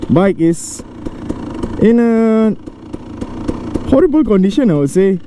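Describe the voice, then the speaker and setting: A man giving casual vlog commentary as he rides a motorcycle outdoors, talking haltingly in phrases broken by pauses. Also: on the high side. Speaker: motovlogger and rider. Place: outdoors, riding a motorcycle on roads. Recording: loud motorcycle engine and road noise. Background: motorcycle engine, traffic.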